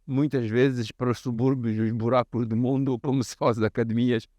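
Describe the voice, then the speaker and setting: A man's voice: mid-range voice, fairly even intonation, fairly quick, dark tone, no echo. A man with a Mozambican accent hosting a reflective interview, talking in a discursive, professorial way. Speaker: host.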